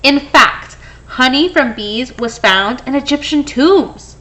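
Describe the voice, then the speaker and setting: High-voiced woman reading aloud, slow and animated. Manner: expressive, acts out dialogue. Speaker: picture book reader. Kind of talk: animated children's read-aloud.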